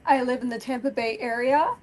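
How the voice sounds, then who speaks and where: A woman in her thirties introducing herself on a panel, speaking softly and with some hesitation. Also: high-pitched, a little fast, a little hiss or hum. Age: 30s. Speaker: panel guest, former model.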